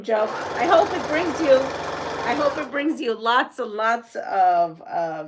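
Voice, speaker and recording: A woman from Rico, hosting a live quilting stream. She chats with viewers, reads out comments and quiz questions, and laughs often. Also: mid-range voice. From Puerto Rico. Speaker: quilting channel host.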